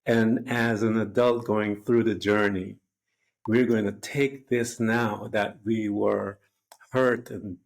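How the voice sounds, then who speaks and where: A man's voice: fairly low pitch, darkish tone. A man hosting an interview, asking questions and reflecting in an earnest, rambling way. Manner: earnest, rambling, fond of "if you will". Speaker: podcast host.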